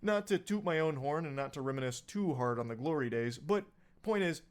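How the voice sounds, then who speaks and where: A man narrating a scripted commentary video in a sarcastic, energetic storytelling manner. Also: a little high, brisk.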